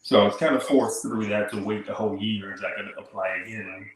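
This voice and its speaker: A man in his thirties from Haiti, a podcast guest telling his story in relaxed casual chat, full of "like" and "kinda" fillers. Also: lowish voice, fast talker, slight background noise. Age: 30s. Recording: echoey room.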